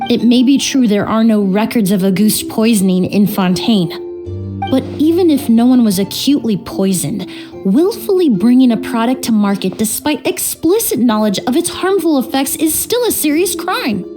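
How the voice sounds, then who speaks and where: Woman voicing a probing young woman investigator, high voice, precise, game music behind. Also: expressive, moderate pace, close to an everyday voice. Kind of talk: game voice acting.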